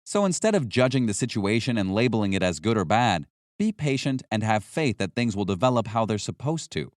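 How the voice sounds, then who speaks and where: A man reading scripted nonfiction as an audiobook narrator, with a measured, instructional delivery. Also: lowish voice, sing-song, dry recording, clean studio sound.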